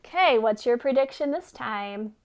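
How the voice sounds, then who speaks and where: A woman's voice: fairly high pitch, animated, normal speed, muffled recording. A woman reading a children's story aloud expressively, as a teacher who puts questions to listeners.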